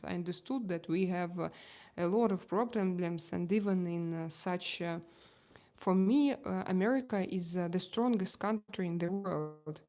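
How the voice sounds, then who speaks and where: Halting Russian-accented woman chatting live. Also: medium pitch, warm tone. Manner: halting, searching for words in non-native English. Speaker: live stream host. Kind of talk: casual live stream chat.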